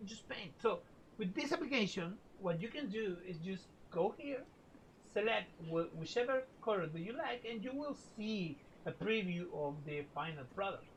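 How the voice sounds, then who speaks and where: A woman who is a non-native English speaker, presenting her own game in a flat, deadpan manner. She stops and restarts her sentences often. A faint low rumble sits behind her. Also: poor audio. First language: not English. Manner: halting, deadpan delivery with restarts. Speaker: game developer in a promo video. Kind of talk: scripted product pitch.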